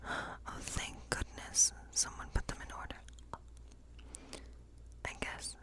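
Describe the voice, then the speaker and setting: Female ASMR performer as a friendly woodland witch: whispered throughout, breathy, whimsical, unhurried and musing. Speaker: ASMR performer playing a witch tarot reader. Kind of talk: whispered ASMR role play.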